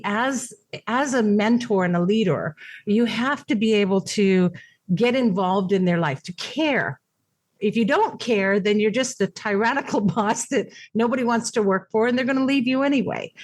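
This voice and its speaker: Chatty older woman, rambling storyteller. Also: mid-range voice. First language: Japanese. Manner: chatty, rambling with restarts and fillers. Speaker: podcast guest. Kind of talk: casual interview chat.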